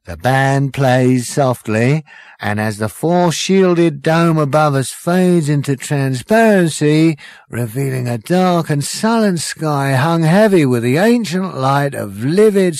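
A man's voice: fairly high pitch, dry recording, studio quality, pitched above the performer's own voice. A man voicing a brash cabaret compère who addresses his audience with theatrical patter. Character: showy middle-aged male cabaret compère.

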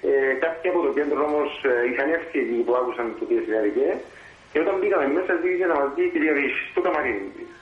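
A man with a Cypriot Greek accent calling in by phone, telling a personal story in a halting, hesitant way. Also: a little high, echoey room. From Cyprus. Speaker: phone-in caller. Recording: tinny, narrow phone line.

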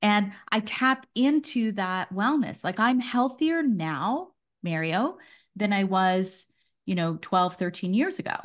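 A woman's voice: medium pitch, lively intonation. A woman in her forties hosting a podcast from a small home studio, talking in an upbeat, chatty way into a studio mic. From Canada. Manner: upbeat, chatty, quick asides. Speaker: podcast host. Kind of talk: casual video-call interview. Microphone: studio mic on a stand.

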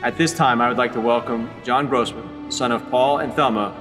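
A middle-aged man, a school principal, making formal and measured ceremony announcements. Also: medium pitch, fairly even intonation, big-room sound, music in the background. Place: school gymnasium.

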